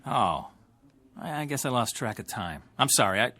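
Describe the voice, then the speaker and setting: An actor playing a boastful, easily riled pool shark obsessed with being the best, in an audio drama. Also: male voice, mid-range voice, big pitch swings, normal speed, pushed and bright. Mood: flustered, apologetic.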